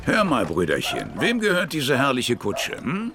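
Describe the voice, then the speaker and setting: Hearty old captain, wildly animated. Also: male voice, medium pitch, normal speed. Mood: excited. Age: older. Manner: effusive, exclamatory, familiar. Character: hearty, effusive old staff captain, eagerly affectionate. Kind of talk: German radio play acting.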